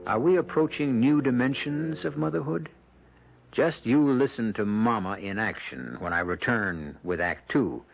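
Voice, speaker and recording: A man hosting a radio mystery drama with theatrical scripted narration, measured, portentous and wry. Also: medium pitch, gravelly, phone-quality sound. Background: music.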